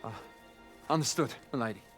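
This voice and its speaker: An actor playing a flustered, deferential castle guard in a video game. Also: male voice, mid-range voice, fairly quick, fairly bright tone, soft background music, lightly acted. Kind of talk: video game cutscene acting.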